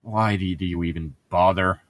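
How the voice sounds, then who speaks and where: A man's voice: low-pitched, steady tone, normal speed, no room sound. A man co-hosting a read-along, blunt and sardonic, swearing freely as he moves between casual banter and reading aloud. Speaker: co-host of a group read-along show. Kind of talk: casual chat mixed with reading a novel aloud.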